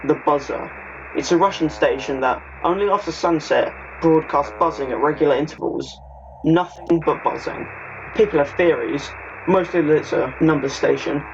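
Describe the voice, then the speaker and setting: Higher-pitched man narrating, dull lo-fi audio. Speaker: video essay narrator. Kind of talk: video essay narration, part scripted, part casual. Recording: dull lo-fi audio with faint noise.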